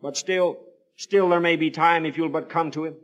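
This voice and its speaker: A man's voice: fairly high pitch, fairly even intonation, normal speed, fairly bright tone. A man preaching on the radio in a solemn, pleading, theatrical manner, telling Bible stories with formal, old-fashioned scriptural phrasing. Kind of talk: dramatic evangelistic sermon storytelling.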